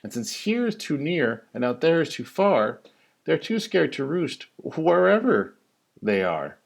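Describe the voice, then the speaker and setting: A man reading a children's rhyming picture book aloud like a teacher, with a theatrical, singsong delivery and drawn-out emphasis. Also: a little high, big pitch swings, creaky.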